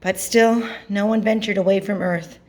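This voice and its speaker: A woman reading a picture book aloud to children, narrating expressively with dramatic pauses and emphasis. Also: medium pitch, fairly even intonation, darkish tone. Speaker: storybook reader.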